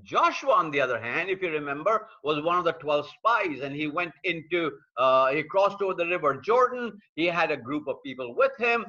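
A man's voice: fairly high pitch, expressive, normal speed, hardly any pauses, bright, forceful tone, highs cut off. A man preaching an online sermon, building points through repetition and speaking straight to viewers joining from around the world. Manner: repetitive, emphatic preaching cadence; addresses viewers directly. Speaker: pastor. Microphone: streaming call mic.

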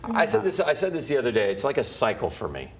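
A man's voice: mid-range voice, wildly swooping pitch, quick pace. A man co-hosting a sports podcast, talking in a casual, frustrated fan's way with many restarts. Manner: frustrated fan, repetitive restarts.

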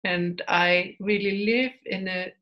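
Slow, hesitant woman interviewer from Germany. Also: mid-range voice, fairly even intonation, muffled recording. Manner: searching for words, hesitant. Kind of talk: conversational interview.

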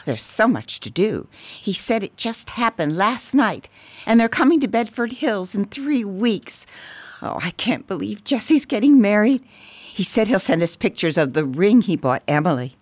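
A woman's voice: mid-range voice, sing-song, unhurried, dry recording. A woman acting an emotional mother in a radio drama. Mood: excited, gushing. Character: mother, by turns defensive and gushingly excited.

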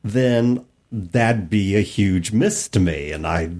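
Low-pitched man, lively conversational delivery. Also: slow pace. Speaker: podcast co-host. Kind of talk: casual discussion of tabletop games.

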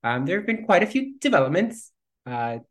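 A man's voice: high voice, sing-song, moderate pace. A man whose first language is Spanish, chatting casually as a guest actor, playful with many ums and easy laughs. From Cuban heritage. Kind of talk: casual interview chat.

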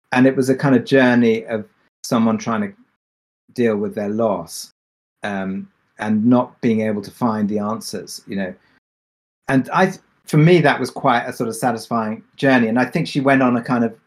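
A man's voice: mid-range voice, darkish tone. A man speaking as a show creator on a panel, casual and reflective, often restarting his phrases.

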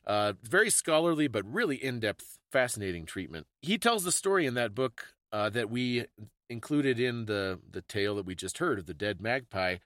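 A man's voice: medium pitch, expressive, moderate pace, a bit bright, no echo, studio quality. A man co-hosting a podcast, talking casually about history in a bookish, explanatory way. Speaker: podcast co-host. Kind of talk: casual conversational history discussion.

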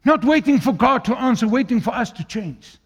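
A male preacher with an Afrikaans accent and a fairly high, animated voice that jumps all over its range. He moves from ringing, declaimed lines down to hushed, low asides. His first language is Afrikaans. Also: fairly quick, creaky, breathy edge. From South Africa. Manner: swings from loud declaiming to quiet, low asides. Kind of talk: sermon.

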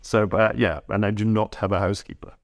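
A man in his sixties talking reflectively in a casual interview as a writer, halting and repeating words as he thinks. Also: lowish voice, fairly even intonation, dry recording, clean studio sound. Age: 60s. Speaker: writer and voice actor. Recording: music behind the voice. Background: plucked guitar music.

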